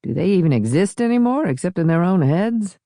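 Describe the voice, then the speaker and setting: A woman's voice: lowish voice, sing-song, brisk, dark tone, no echo, clean studio sound, voice pushed up. Woman reading a curious, sceptical male sailing guest. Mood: curious, amused. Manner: questioning.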